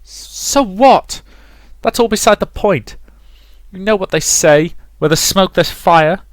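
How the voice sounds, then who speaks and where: Man voicing an angry, high-pitched jealous young fiancée. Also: takes it slowly, no echo. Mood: accusing. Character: furious jealous young fiancée in a visual novel.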